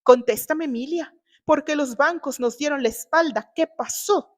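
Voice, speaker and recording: A woman's voice: sits high, moderate pace, voice pushed up, lightly acted. A woman voicing an indignant older father, a stern patriarch who scolds and demands answers. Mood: insistent.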